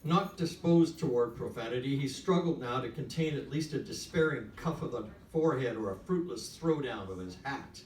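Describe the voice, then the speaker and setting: A man, an author at a live literary event, speaking with measured, emphatic phrasing. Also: medium pitch, lively intonation, darkish tone. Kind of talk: literary reading, then frank talk. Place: whisky bar literary event. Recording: echoey room, distant from mic.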